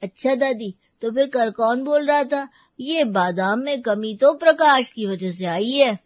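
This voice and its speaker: A woman voicing a cheeky, eager little boy in an animated family story. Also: fairly high pitch, a little slow, no echo, dull audio. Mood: teasing.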